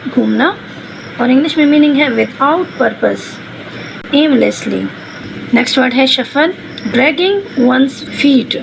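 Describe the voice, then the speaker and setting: A woman with a Pakistani accent explaining exercises as a teacher, spelling out words and switching between explanation and slowly read phrases. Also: high voice, creaky, rough recording. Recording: noticeable background noise.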